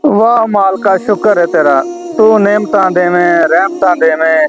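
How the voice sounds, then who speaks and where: A man's voice: sits high, moderate pace, dull audio, lightly acted. A man playing a pious, patient old village father in an amateur moral drama, with a Pakistani Punjabi accent and a prayerful, sighing manner. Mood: prayerful, resigned. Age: older. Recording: music laid over the dialogue.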